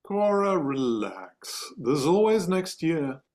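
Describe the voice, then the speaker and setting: A man's voice: fairly high pitch, big pitch swings, takes it slowly, darkish tone. A man playing a stern, dismissive office boss with a curt, condescending manner in a dramatic table read. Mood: calm, dismissive.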